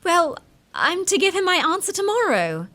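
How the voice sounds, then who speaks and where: A woman's voice: very high-pitched, sing-song, moderate pace, fairly bright tone, full character voice. A young woman playing a passionate, torn young lady of the moors in a melodramatic radio drama. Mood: airy, defensive.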